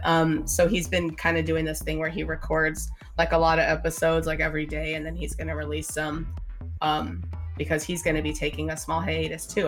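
A woman with a slightly scratchy voice from a sinus infection, chatting casually as a gaming podcast co-host, full of "like" and "um". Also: lowish voice. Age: adult mother. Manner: chatty, fills with "like" and "um", swears freely. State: getting over a sinus infection, slight cough.

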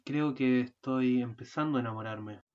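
A woman's voice: very deep voice, moderate pace. A woman playing a fussy exasperated husband. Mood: earnest. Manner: bickering, rising to shouting. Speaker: student radio drama actor. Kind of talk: amateur radio play acting. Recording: muffled lo-fi recording.

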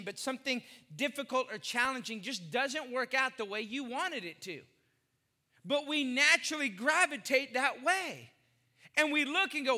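A man's voice: very high voice, sharp tone, in a large hall. A man in his forties preaching a sermon, emphatic, repeating phrases and putting questions to the congregation. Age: 40s. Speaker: pastor.

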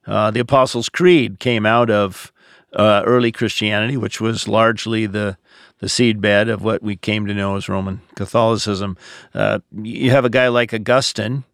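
An American man speaking as a pastor, answering questions in a measured, explanatory, conversational podcast style. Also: lowish voice, fairly even intonation, a bit bright, no echo, studio quality.

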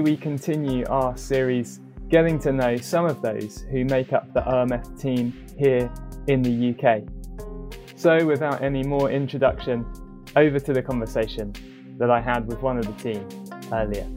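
A man hosting a podcast interview over a video call, relaxed and a little hesitant, with fillers. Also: medium pitch, normal speed, soft background music. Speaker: podcast host. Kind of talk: friendly interview.

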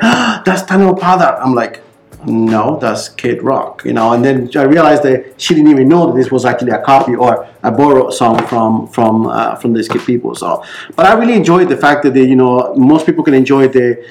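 A man's voice: mid-range voice, fairly quick, poor audio. A man with a Costa Rican accent co-hosting a casual podcast, telling friendly stories. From Costa Rica. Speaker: podcast co-host.

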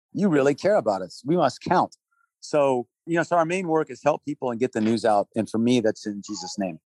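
A man's voice: mid-range voice, darkish tone, no echo. Man in his sixties, earnest, conversational, chuckles, dry close sound. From Texas, raised in Thailand. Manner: earnest, lists points, chuckles between phrases. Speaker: guest, relief organization leader. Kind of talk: conversational interview answers.

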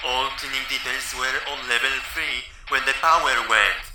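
An actor playing a resigned, matey spaceship cleaner talking over a walkie-talkie in an audio comedy. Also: male voice, medium pitch, steady tone, moderate pace. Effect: walkie-talkie radio. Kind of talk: comedy audio drama acting. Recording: very noisy, distant walkie-talkie with echo.

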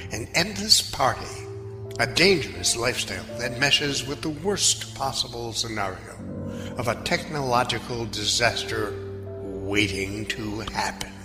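A man plays a solemn radio drama host, narrating slowly with wide dramatic swings in pitch. Long reverb surrounds the voice and music plays underneath. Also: close to an everyday voice. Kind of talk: scripted radio drama introduction.

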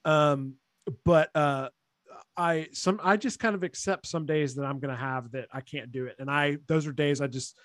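A young man with a fairly high voice hosts a podcast interview, easygoing and rambling, with lots of filler words. The recording is dry and close, with no room sound. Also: normal speed, a bit bright, no room sound. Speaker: podcast host. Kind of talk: casual interview chat.

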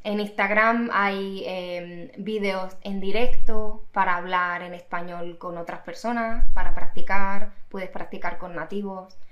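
Slow, hesitant woman in an echoey room. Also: medium pitch, steady tone, fairly bright tone. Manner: thoughtful, hesitant with many fillers and restarts. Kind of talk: casual podcast conversation.

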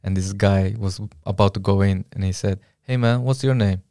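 A man hosting a casual podcast chat, telling jokey stories in an animated way. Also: deep voice, moderate pace, no echo, clean studio sound. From Chile. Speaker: podcast host.

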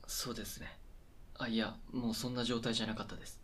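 A man's voice: mid-range voice, flat delivery, fast, fairly bright tone, slight background noise, natural voice. A man acting in a conversational radio drama as a depressed, self-conscious welfare applicant, hesitant, flustered and apologetic. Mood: awkward, apologetic.

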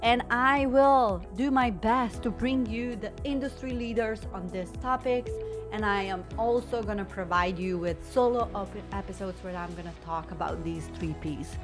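A woman's voice: medium pitch, normal speed, over music. A woman hosts a podcast on coaching and business. She talks effusively and leans on her words for emphasis. Her delivery suits both introducing a show and interviewing a guest.

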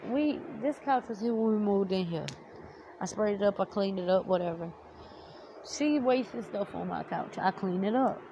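A woman's voice: medium pitch, lo-fi sound. A young woman with a slightly nasal, teary-sounding voice, ranting heatedly to camera with blunt, heavy swearing. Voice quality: nasal, like about to cry. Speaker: vlogger. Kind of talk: storytime rant to camera. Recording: noticeable background noise.

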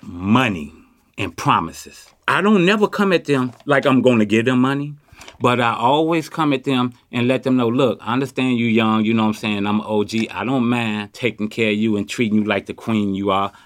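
A man's voice: mid-range voice, moderate pace, no echo. A man in his fifties from Chicago, talking boastfully in street slang while interviewed on a city street. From Chicago, USA. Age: 50s. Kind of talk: candid street conversation. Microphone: handheld mic.

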